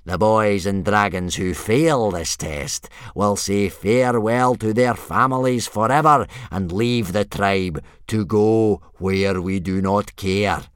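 A man voicing a loud, ill-tempered, pompous Viking warrior instructor barking commands in a children's storybook. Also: on the low side, slow pace, few pauses, clear, resonant tone, dry recording, studio quality. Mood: pompous, commanding. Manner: bellowing, blustering, pompous commands.

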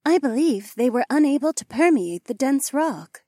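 A calm alien slime woman speaks in a high, formal, measured way, voiced by a man reading the story. She never uses contractions and sounds careful. The recording is dry. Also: dry recording.

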